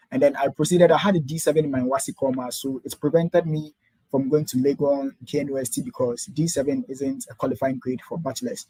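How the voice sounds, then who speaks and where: A young Ghanaian man talking quickly and eagerly as an interview guest, laying out his story step by step. His voice is mellow and a little husky, and the recording is dry and close. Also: mid-range voice, no room sound, poor audio. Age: 20s. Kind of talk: interview answers.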